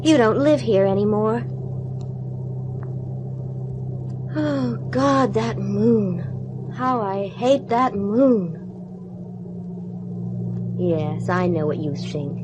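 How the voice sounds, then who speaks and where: A woman's voice: mid-range voice, expressive, slow pace, long pauses, music in the background. A bitter, despairing woman. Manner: bitter, emotional. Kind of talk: 1970s radio drama acting. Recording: noisy old radio recording.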